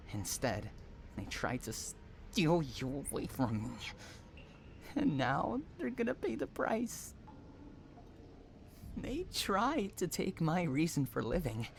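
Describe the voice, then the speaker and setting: A man performs an unhinged, possessive stalker in an intimate audio drama, speaking slowly to a captive lover. He coos endearments and threatens in the same breath, with a menacing, obsessive temper. There is some noise behind the voice. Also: mid-range voice. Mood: agitated, possessive. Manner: cooing endearments mixed with threats. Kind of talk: dramatic roleplay monologue addressed to the listener.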